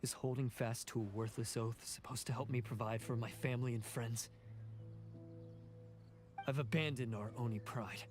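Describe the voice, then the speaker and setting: A man playing a bitter oni bandit in a game, stern and resigned, in a mid-range voice. Clear game music plays underneath. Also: mid-range voice, fairly even intonation, moderate pace, pauses often, natural voice. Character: grim blue oni bandit, bitter and proud. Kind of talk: studio game voice acting.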